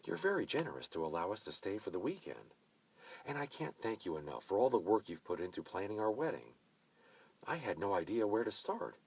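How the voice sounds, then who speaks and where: A man voicing a young bride who speaks politely and softly. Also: lowish voice, sing-song, brisk, gravelly, breathy edge, pitched above the performer's own voice, exaggerated voice, low-quality recording. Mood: grateful. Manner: polite, soft-spoken. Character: young woman, a gentle and grateful bride.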